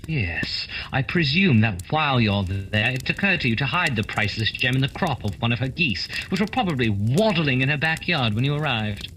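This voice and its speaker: A woman playing a stern, dry-witted male detective in a radio play, measured and commanding. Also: a bass voice, expressive, a bit bright, slight background noise, poor audio. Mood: stern questioning. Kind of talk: holiday radio play acting.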